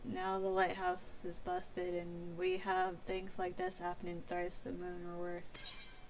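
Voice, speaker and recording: A woman's voice: medium pitch, gravelly, slight background noise, poor audio. A woman streaming a fantasy game, reading its dialogue aloud theatrically and stumbling now and then over words. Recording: music behind the voice.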